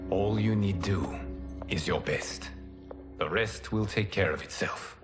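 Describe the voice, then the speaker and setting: A man's voice: low-pitched, a little slow, music in the background. A man playing a wise, benevolent lord and mentor in a cinematic game, gracious, formal and reassuring. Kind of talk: cinematic video game acting.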